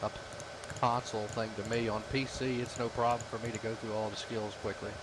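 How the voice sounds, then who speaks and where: A man's voice: fairly low pitch, little pitch movement, brisk. A man chatting casually with fellow gamers over voice chat. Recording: noisy voice chat, clear hiss.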